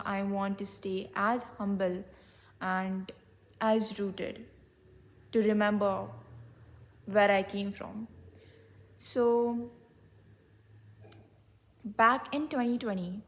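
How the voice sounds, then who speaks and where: A young woman with an Indian English accent, a little hoarse from being unwell, telling a personal story in a candid, reflective vlog and slipping into Hindi mid-sentence. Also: medium pitch. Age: young adult. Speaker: vlogger.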